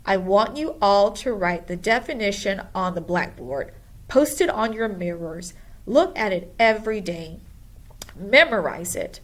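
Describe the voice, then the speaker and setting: A woman's voice: medium pitch, lively intonation, a little slow, fairly bright tone. A woman voicing a stern, no-nonsense schoolteacher in a storybook, speaking with firm emphasis. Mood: brisk, commanding. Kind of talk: storybook character voice.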